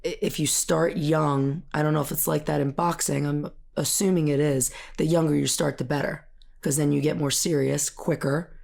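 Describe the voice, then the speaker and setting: A woman's voice: deep voice, steady tone, moderate pace, gravelly, a little mellow. An American woman in her thirties chatting casually as a guest in an interview. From Bucks County, Pennsylvania, United States. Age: 30s. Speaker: podcast guest, fitness and gymnastics coach.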